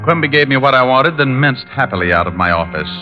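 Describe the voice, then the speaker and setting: An actor playing a sardonic private detective in a vintage radio drama, with an American accent and glib, dry wit. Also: male voice, mid-range voice, animated, fast, natural voice. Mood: wry narration. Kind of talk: 1950s radio drama acting and noir narration. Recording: muffled vintage radio recording, music.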